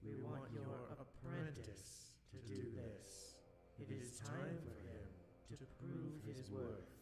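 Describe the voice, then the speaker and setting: An actor playing a cold, commanding spectral ruler in a video game, speaking in a slow, formal, ominous way. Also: male voice, mid-range voice, loud music behind the voice, close to an everyday voice. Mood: stern, demanding. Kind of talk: scripted video game voice acting. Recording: echoing chamber, loud overlapping voices.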